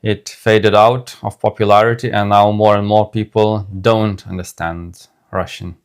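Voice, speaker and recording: A man with a Lithuanian accent, a native Lithuanian speaker co-hosting a casual podcast, speaking in measured, careful short phrases. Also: fairly low pitch, level tone, clear, resonant tone. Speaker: podcast co-host.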